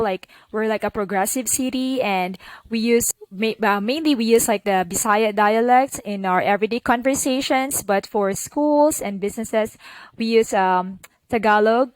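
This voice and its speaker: A woman's voice: a little high, no room sound, poor audio. A young woman from the Philippines with a Filipino accent, chatting as a podcast guest and filling her talk with "like" and "you know". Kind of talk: casual interview conversation.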